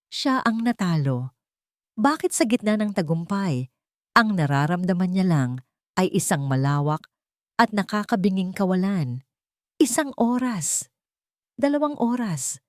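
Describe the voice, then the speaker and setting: A woman's voice: lowish voice, darkish tone, dry recording, studio quality. A woman narrating a scripted romantic drama as a storyteller.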